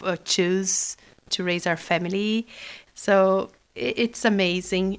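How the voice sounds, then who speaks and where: A woman from Brazil speaks heartfelt and grateful. Her voice is smooth and resonant, though fairly flat in pitch. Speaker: interviewee. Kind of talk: personal account.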